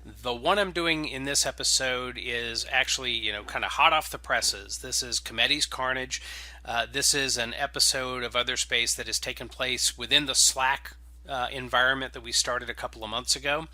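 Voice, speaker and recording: A man's voice: medium pitch, moderate pace, pushed and bright, no room sound. A man with an informal, enthusiastic manner, hosting and reading stories aloud. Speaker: game writer and host introducing and reading stories. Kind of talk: casual podcast intro, then dramatic scripted narration.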